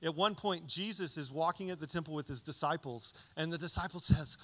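A man's voice: fairly high pitch, a little fast. A man in his thirties preaching a conversational sermon into a handheld mic on a church stage, often checking in with "okay?". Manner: conversational, animated teaching with frequent "okay?" check-ins. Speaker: pastor. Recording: reverberant church hall.

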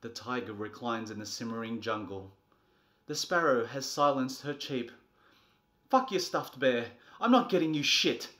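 A man in his thirties doing a comedic, theatrical storybook reading, moving between a soft bedtime tone and exasperated delivery. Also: mid-range voice, animated, moderate pace. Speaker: presenter reading a picture book. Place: small furnished nursery room indoors.

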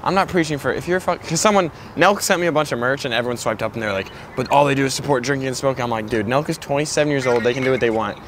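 A man's voice: medium pitch, very fast, hardly any pauses, fairly bright tone. A young man vlogger chatting casually outdoors in slangy, profane bro talk. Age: young adult. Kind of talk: casual chat. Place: open rooftop parking lot, tailgate of a truck. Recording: clear outdoor background noise.

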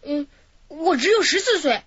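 A woman's voice: sits high, expressive, normal speed, a bit bright, no echo. A woman voicing a defiant fourteen-year-old boy pickpocket in a radio drama, answering back cheekily under questioning. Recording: dull lo-fi radio drama audio.

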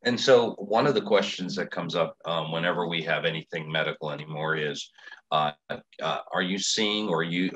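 An older man hosts over a video call and asks his questions hesitantly, with many fillers. His voice sits very low but has a bright, forceful edge. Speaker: interviewer. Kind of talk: online interview questions. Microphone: webcam on video call.

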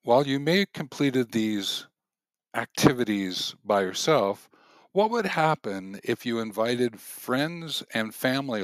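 A man narrating nature walking activities as a host, gently guiding in measured, deliberate phrases with pauses. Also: mid-range voice, no room sound.

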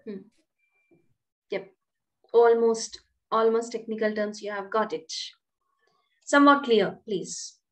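High-voiced woman teaching code. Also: fairly even intonation, takes it slowly, long pauses. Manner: questioning, explains step by step with repeated "right?". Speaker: programming instructor. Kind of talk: online class lecture. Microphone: webcam on a video call.

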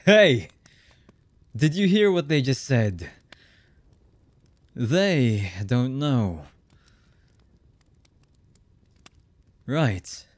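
A man acting a very slow, deep, husky villain. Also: mellow, dry recording. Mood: commanding. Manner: slow, menacing, mock-polite. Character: cold, heartless male villain boss, calmly threatening captives. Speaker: voice actor. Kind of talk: ASMR villain roleplay acting.

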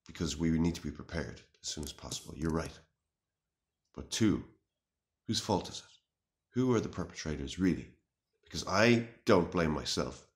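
A man in his thirties playing an anxious, earnest, melodramatic self-styled warrior in a halting, mock-serious monologue to camera. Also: rumbling low. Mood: solemn. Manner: halting, grave, deliberate delivery with long pauses. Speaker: comic video responder. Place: small room indoors.

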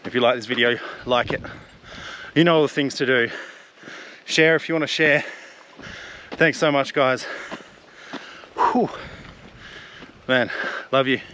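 A man's voice: mid-range voice, fairly even intonation, a little slow, long pauses, pushed and bright, slight background noise. A man in his thirties chatting upbeat to a camera held at arm's length on an outdoor mountain trail, laughing at himself. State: throat a bit rough. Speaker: hiking vlogger. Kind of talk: casual vlog to camera. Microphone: action camera at arm's length. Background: open air.

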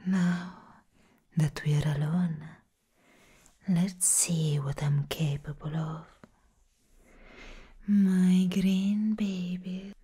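A woman playing a seductive, sinister plant villainess in a breathy whisper, as soft-spoken ASMR roleplay, slow and deliberate. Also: lowish voice, steady tone, exaggerated voice. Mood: commanding, menacing.

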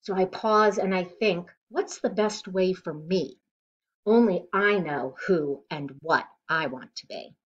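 A middle-aged woman reads a rhyming children's picture book aloud in a deliberate, expressive way, recorded at home on a device mic. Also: lowish voice. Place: living room at home, indoors. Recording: dull lo-fi webcam sound.

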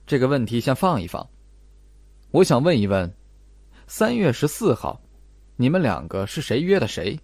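A man playing a calm, probing detective in an audiobook, questioning in a measured, pointed way. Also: medium pitch, no room sound. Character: calm, probing detective laying out his deductions. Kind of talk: audiobook character acting.

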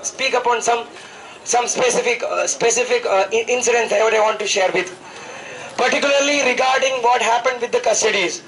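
A man in his twenties with an Indian accent, a student activist making an impassioned political speech, haltingly and repeating phrases for emphasis into a handheld microphone. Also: extremely high pitch, fairly bright tone, poor audio. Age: 20s. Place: outdoors at night, public gathering. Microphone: handheld microphone held close to the mouth.

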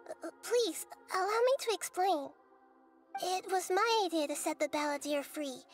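Calm gentle girl deity, polite, reassuring, high voice. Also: moderate pace. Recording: game audio with music.

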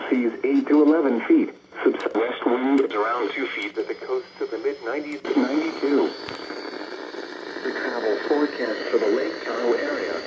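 Synthetic American weather radio man, noisy. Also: medium pitch. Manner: flat, synthetic, measured delivery. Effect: radio broadcast. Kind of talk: automated weather forecast broadcast reading. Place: played from a portable radio indoors. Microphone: camera or phone near the radio. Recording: noisy radio speaker picked up in room.

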